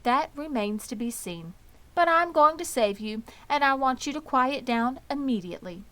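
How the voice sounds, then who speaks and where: A woman voicing a calm, motherly female spider in a children's storybook, brisk, firm and no-nonsense. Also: sits high, pitched above the performer's own voice. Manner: measured, no-nonsense. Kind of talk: children's storybook character reading.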